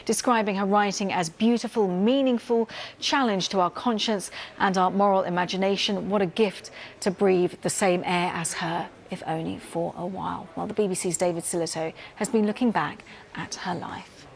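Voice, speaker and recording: A woman's voice: medium pitch, fairly even intonation, moderate pace, creaky, hardly any pauses. A woman news presenter reading a scripted report in a composed, solemn manner.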